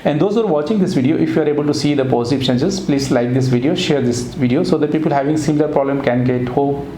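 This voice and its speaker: A man working as a voice therapist, asking brisk, clinical questions and then addressing viewers directly. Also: on the high side, warm tone. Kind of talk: clinic interview and sign-off. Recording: echoey room, faint noise.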